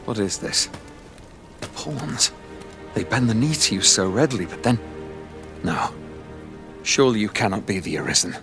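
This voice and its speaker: Man playing a low-voiced sceptical soldier. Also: lively intonation, moderate pace, long pauses, over music, close to an everyday voice. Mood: astonished, doubtful. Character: sceptical, disbelieving male soldier. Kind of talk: fantasy game voice acting.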